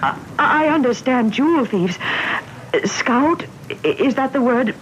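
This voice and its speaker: A genteel, rich woman in a radio drama, anxious and hesitant. Her fairly high voice comes through a dull old broadcast. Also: expressive, a little slow. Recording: muffled, slightly noisy old radio broadcast.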